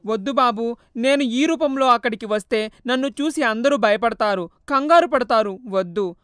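A gentle, sorrowful mother's ghost speaks softly to her son, as a woman puts her on. Her voice is high and tender, recorded dry and close, as in a storybook cartoon. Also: moderate pace, clear, resonant tone, no echo, close to an everyday voice. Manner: soft, tender, tearful. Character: poor loving mother, gentle sad ghost.

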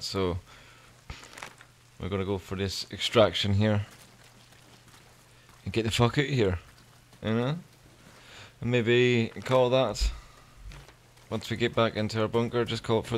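A man with a Scottish accent giving casual, sweary, rambling commentary as he plays a video game. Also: lowish voice. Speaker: gaming video creator.

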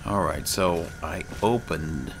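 Super deep gravelly guy muttering through a video game playthrough, noisy background. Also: slow. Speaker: gaming channel host. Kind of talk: casual let's-play commentary.

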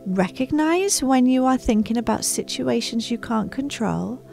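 A woman's voice: on the high side, animated, a little slow, gravelly, darkish tone, soft background music. A woman voicing a gritty, reassuring male ninja mentor from a storybook, emphatic and instructive.

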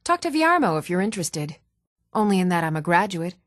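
An actress playing an even-toned fantasy townswoman in scripted video game dialogue, with a measured, composed delivery. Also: on the low side, dry recording, clean studio sound. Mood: friendly, matter-of-fact.

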